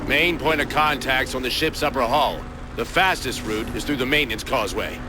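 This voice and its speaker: An actor playing a stern, impatient warship captain in a video game, giving curt, authoritative orders. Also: male voice, mid-range voice, brisk, background noise, close to an everyday voice. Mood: brisk, commanding. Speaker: military captain. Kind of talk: video game cutscene acting. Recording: loud music over the voice.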